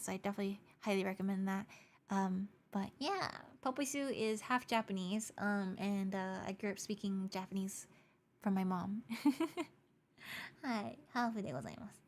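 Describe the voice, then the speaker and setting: A young woman streaming live, chatting casually with viewers in a bubbly, giggly way while her voice is going hoarse. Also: mid-range voice. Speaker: VTuber streamer. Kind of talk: casual livestream chat, switching between English and Japanese.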